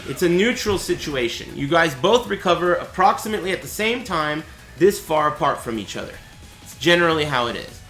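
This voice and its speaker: Brief: bright, animated man, voice gone raspy, casual stream tutorial, echoey room with music. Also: a little high, a little fast. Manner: chatty, explanatory, asks "right?" often. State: voice raspy and cracking. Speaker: streamer teaching a fighting game.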